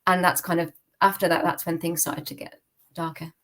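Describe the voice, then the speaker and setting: A woman's voice: fairly low pitch, level tone, brisk. A young British woman telling her personal story candidly as an interview guest, in an informal, reflective way peppered with "like" and "you know". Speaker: podcast guest giving testimony.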